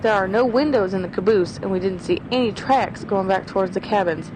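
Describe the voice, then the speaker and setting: A woman voicing a sharp young schoolteacher sleuth in an old-time style radio drama, reasoning her way through a murder. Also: mid-range voice, fairly quick, natural voice. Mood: tense. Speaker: voice actor. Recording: noisy radio drama recording.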